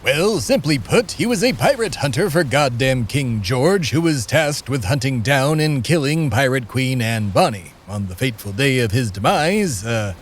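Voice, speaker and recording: A man playing the deadpan immortal embodiment of America. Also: mid-range voice, slight background noise, close to an everyday voice. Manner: matter-of-fact, deadpan storytelling with dramatic pauses. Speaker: co-host character. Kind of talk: scripted comedy audio drama.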